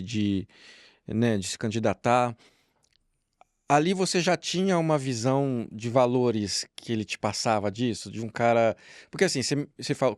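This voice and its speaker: A man in his forties with a Brazilian accent, interviewing casually with halting, circling questions. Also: mid-range voice, dry recording, studio quality. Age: 40s. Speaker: podcast host.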